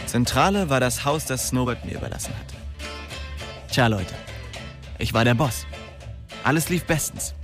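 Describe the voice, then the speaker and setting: A man's voice: mid-range voice, natural voice. An actor playing a streetwise young man narrating a radio crime drama in slangy, hard-boiled style. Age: young adult. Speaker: narrator and lead character.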